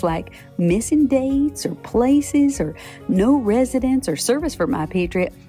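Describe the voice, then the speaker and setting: Woman doing a cheerful talking application paper, folksy asides, mellow tone, scripted storytelling. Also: mid-range voice, gravelly. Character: chatty cheerful female membership application paper. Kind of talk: scripted podcast narration.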